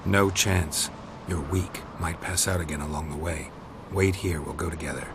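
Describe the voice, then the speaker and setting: A gruff, terse monster hunter. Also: male voice, extremely low pitch, steady tone, moderate pace, background noise. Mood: curt. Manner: terse and dry. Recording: game audio with loud music.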